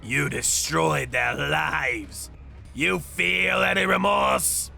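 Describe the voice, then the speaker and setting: A man's voice: on the high side, extremely slow, creaky, sharp tone, heavily acted. A man voicing a ruthless underworld avenger in a manga dub, menacing and theatrical as he narrates. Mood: cold menace. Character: ruthless male underworld avenger narrating his torture jobs. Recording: background music under voice.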